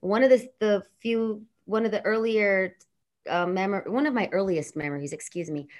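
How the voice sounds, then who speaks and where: A woman in her thirties with a Los Angeles accent, chatting as a podcast guest, bubbly and quick to laugh, rambling through asides. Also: medium pitch. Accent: Los Angeles regional. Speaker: radio and TV personality, guest. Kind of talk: casual podcast chat.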